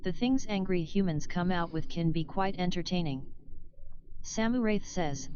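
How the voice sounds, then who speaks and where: Low synthetic woman reading chat. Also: moderate pace, lo-fi sound, a little hiss or hum. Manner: flat, mechanical reading. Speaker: text-to-speech chat reader. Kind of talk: reading chat messages aloud.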